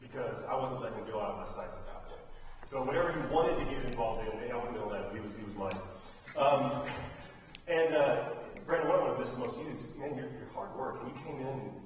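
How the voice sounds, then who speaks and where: A man's voice: medium pitch, fast. American man speaking in a very echoey hall. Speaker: theater director giving tributes to students. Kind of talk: informal speech honoring senior students. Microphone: distant microphone. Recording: very echoey hall, distant mic, faint noise.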